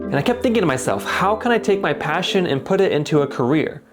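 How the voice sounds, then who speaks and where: A man's voice: fairly high pitch, big pitch swings, fast talker. A man who makes videos for a company's English channel, narrating his vlog in a reflective, earnest voice. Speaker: company YouTube creator. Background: guitar music.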